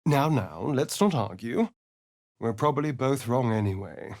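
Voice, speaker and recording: An actor playing a suave, sardonic, preening vampire rogue in a video game, with an arch, theatrical drawl and dramatic pauses. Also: male voice, medium pitch, expressive, darkish tone, dry recording, clean studio sound. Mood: arch, teasing. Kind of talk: video game character voice acting.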